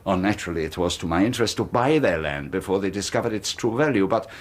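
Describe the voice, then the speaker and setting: An actor playing a sinister, secretive middle-aged colonel, talking smoothly and insistently in a radio drama. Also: male voice, low-pitched, animated, fast. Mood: persuasive. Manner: smooth, insistent, quietly threatening. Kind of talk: 1980s radio drama acting.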